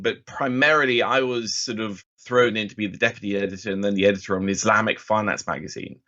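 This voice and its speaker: A man's voice: fairly low pitch, fairly bright tone. Chatty Norwegian-born man, slightly dull recording. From Oslo, Norway. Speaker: podcast guest, financial journalist. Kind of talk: casual conversational storytelling.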